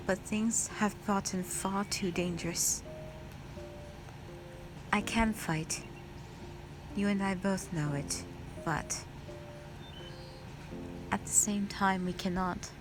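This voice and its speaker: A devoted, wistful dragon wife, acted by a woman in a romantic roleplay drama. She speaks slowly and tenderly at a medium female pitch, with old-fashioned, formal phrasing. Music plays clearly underneath her voice. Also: lightly acted. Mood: worried, pleading.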